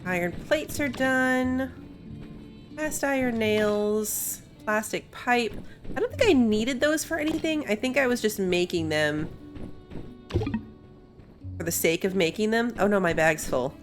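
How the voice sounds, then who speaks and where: A woman's voice: a little high, a little slow, long pauses. A woman giving casual let's play commentary, thinking aloud as she plays. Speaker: gaming video host. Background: game music.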